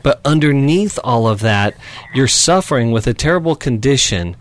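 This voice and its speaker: A man's voice: medium pitch, expressive, normal speed. A man hosting a call-in advice radio show, talking directly and challenging callers.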